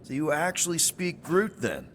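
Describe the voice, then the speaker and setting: An actor playing an easygoing, friendly spaceship crew leader in a video game, speaking in casual, rambling slang. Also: male voice, on the high side, wildly swooping pitch, moderate pace, a bit bright, a little hiss or hum. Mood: curious, awkward.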